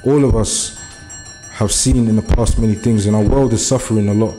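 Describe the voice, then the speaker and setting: A man's voice: on the low side, fairly even intonation, brisk, mellow, faint music behind. A young man from Germany giving an earnest public speech at a meeting, hesitant and full of fillers at first, then building to urgent appeals. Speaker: community speaker. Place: meeting room.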